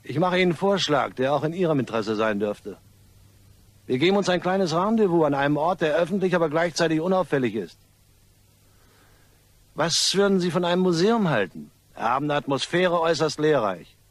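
An actor playing a smug, mock-polite blackmailer in a crime radio play, with a suave and taunting manner. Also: male voice, fairly high pitch, expressive, brisk, no echo, close to an everyday voice. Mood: smooth, commanding. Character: smug male blackmailer, mockingly courteous and menacing.

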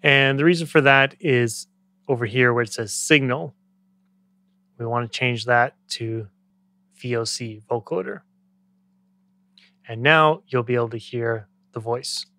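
A man's voice: mid-range voice, a little slow, pauses often, bright, forceful tone, no echo. Man giving a dry, close-miked tutorial. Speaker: music production tutorial instructor. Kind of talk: step-by-step software tutorial, conversational.

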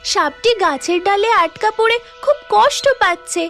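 A woman voicing a kindly magical mermaid with a gentle, earnest manner in a fairy tale cartoon. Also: very high-pitched, animated, moderate pace, soft background music, full character voice. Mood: concerned, reassuring. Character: kindly, helpful three-headed magical mermaid.

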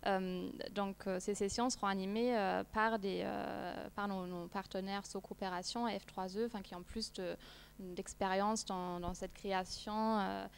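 A woman's voice: mid-range voice, fairly even intonation, a little slow, gravelly, slight background noise. A woman in her thirties, a non-native French speaker, presenting a research project at a seminar, with many fillers and restarts. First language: not French. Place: seminar room, seated at a long table.

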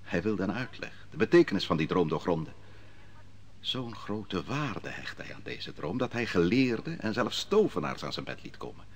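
An actor playing the prophet Daniel in an old radio drama, telling his story in a measured, solemn way. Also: sits low, sing-song. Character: prophet Daniel, a calm, grave advisor. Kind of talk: 1950s-60s radio drama acting.

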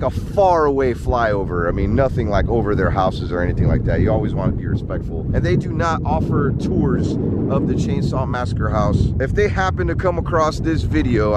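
A man's voice: medium pitch, very noisy. A man in his thirties narrating a vlog in an informal, bantering, slangy way into a handheld camera. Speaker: vlog host. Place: outdoors on open rural roadside, sometimes in a car. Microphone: handheld camera at arm's length.

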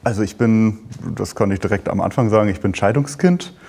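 A man in his early thirties talking about himself in an earnest, measured way. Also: fairly low pitch, a little mellow, husky. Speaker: trainee pastor. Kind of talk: personal conversation.

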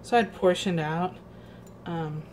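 Female home cooking vlogger, mid-pitched, unhurried and hesitant, casual demo delivery, noisy kitchen recording.

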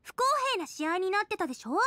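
Very high, bubbly teenage girl. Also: animated, racing, a bit bright, no echo. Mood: reassuring, frank. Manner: bubbly, frank, casual. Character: cheerful, sincere teenage girl cook. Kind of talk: Japanese anime game voice acting. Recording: faint music behind game dialogue.

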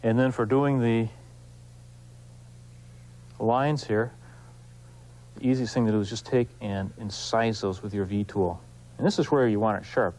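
A man's voice: on the low side, darkish tone. Man casually teaching a hands-on craft. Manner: unhurried, folksy, explaining while working. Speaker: woodcarving instructor, show host. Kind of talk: hands-on demonstration, informal teaching.

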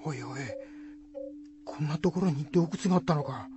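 A woman playing an excitable, easily spooked rustic husband in a folk-tale radio drama, blunt and husky, with Shizuoka dialect. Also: low-pitched, moderate pace, highs cut off, music in the background, natural voice. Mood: wary. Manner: blunt, rough rustic speech.

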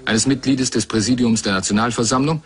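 A man's voice: mid-range voice, fairly even intonation, brisk. A man giving a scripted documentary voice-over of interview testimony as a translator.

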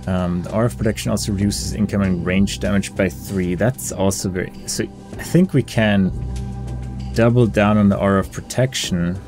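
Man, low voice, unhurried, casual game commentary, musing aloud, music playing behind. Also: fairly even intonation. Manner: musing, hesitant, weighing options aloud. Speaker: gaming video creator.

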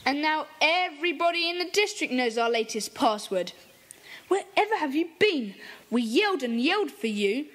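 A woman's voice: sits high, animated, normal speed, a bit bright, slight background noise. A woman voicing a confident boy who leads a children's club in an audio drama, speaking decisively and taking charge. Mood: eager.

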